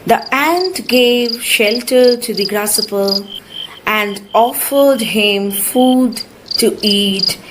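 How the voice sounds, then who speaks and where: A woman narrating a scripted story for young children, slowly and simply, as a storyteller. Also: mid-range voice. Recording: loud noise of birds and crickets behind.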